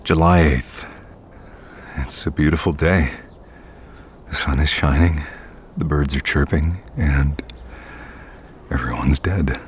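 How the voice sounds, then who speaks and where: A man playing a weary night-shift worker, narrating in a brooding, measured way for an audio drama. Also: a bass voice, a little hiss or hum. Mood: somber. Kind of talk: first-person audio fiction narration. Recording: loud music bed under the narration.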